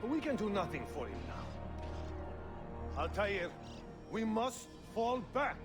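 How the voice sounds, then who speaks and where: An actor playing an alarmed assassin soldier in a video game, speaking with dramatic urgency. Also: male voice, sits high, big pitch swings, slow, over music, full character voice. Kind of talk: video game cutscene acting.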